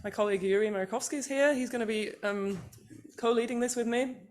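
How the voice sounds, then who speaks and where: A woman's voice: fairly high pitch, brisk, a little mellow. A woman chairing a small workshop session, talking casually with many restarts. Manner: chatty, hesitant, with many restarts. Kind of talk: casual meeting talk.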